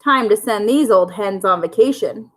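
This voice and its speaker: A woman's voice: medium pitch, animated, moderate pace, close to an everyday voice. A woman voicing a callous, joking farm man in a storybook, with a folksy manner. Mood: jokey. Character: joking, callous male farmer and truck driver.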